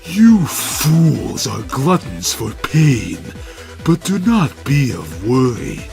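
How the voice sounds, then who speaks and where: A swaggering action-hero cat as a man acts him out in a dramatic cartoon reading. He delivers stilted quips with smug confidence. The voice is gravelly and swings widely in pitch, with faint music behind. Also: big pitch swings, a little mellow, exaggerated voice. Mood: taunting. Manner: smug, deliberate, stilted one-liners. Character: cocky, quipping male tough-guy action-hero cat.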